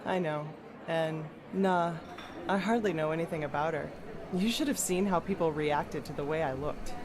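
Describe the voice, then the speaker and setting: A woman's voice: low voice, moderate pace, a crowd in the background, lightly acted. A woman playing a frank, worldly adventurer in a fantasy game, talking in a casual, wry and blunt way. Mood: bitter, sardonic. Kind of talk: scripted fantasy video game dialogue.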